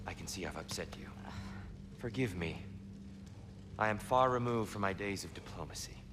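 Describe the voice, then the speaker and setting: Casting: a gentle, courteous old god in disguise, low voice, game cutscene over a steady hiss. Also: male voice. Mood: soft, courteous. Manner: gentle, measured, apologetic. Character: elderly god disguised as a war god, soft-spoken. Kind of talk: video game cutscene acting. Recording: game audio with steady background noise.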